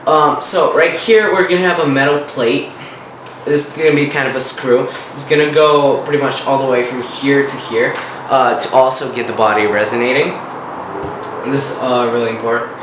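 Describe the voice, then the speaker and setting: A man from Russia with a Russian accent, his first language being Russian, casually explaining his guitar design in halting, filler-heavy speech. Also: mid-range voice, fairly even intonation, echoey room, background noise. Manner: hesitant, many fillers and restarts. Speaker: guitar design contest entrant.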